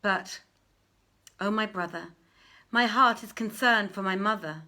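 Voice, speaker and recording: A woman's voice: mid-range voice, measured pace, sharp tone. A woman voicing a humble, devoted young male companion in a storybook, speaking earnestly and formally. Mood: earnest devotion. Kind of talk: storybook character reading.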